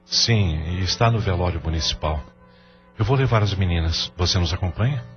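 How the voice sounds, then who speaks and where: A very deep male voice of an actor plays a Brazilian father weighed down by grief, gentle yet evasive. It is audio drama with faint music behind, slightly dull in sound. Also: moderate pace, close to an everyday voice. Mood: subdued. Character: grieving, burdened father, gentle but evasive businessman.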